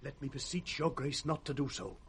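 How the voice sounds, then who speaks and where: A man's voice: mid-range voice, expressive, a little fast, lightly acted. An actor playing a courteous, anxious nobleman pleading formally in 1960s British radio Shakespeare. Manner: earnest, formal. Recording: faintly noisy old radio recording.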